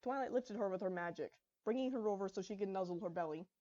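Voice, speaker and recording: A woman narrates a fan fiction story from a script. Her voice sits at a medium pitch with an even brightness, and the recording sounds slightly dull. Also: quick pace.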